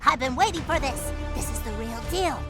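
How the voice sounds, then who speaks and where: An actor puts on a battle-ready little mouse guard for an audio drama. The voice is high and creaky, feisty, with music loud behind it. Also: animated, normal speed. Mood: eager, commanding.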